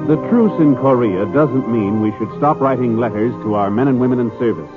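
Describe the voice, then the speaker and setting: An American man announcing on 1950s radio, reading a script in a polished, formal, deliberate manner. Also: mid-range voice. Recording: narrow-band 1950s radio, music behind.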